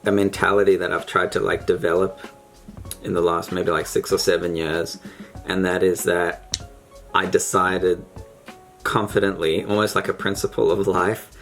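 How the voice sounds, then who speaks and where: A man's voice: deep voice, fairly even intonation, normal speed, a bit bright, faint music behind. A man chatting with a stranger on a video call, reflective and hesitant, with many fillers. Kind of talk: casual conversation.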